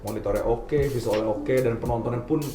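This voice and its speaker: A man's voice: mid-range voice, brisk. A young man with a Jakarta accent chats casually as an interviewed band member, mixing English slang into colloquial speech. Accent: Jakarta Indonesian. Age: young adult. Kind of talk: casual chat. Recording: roomy echo, music behind.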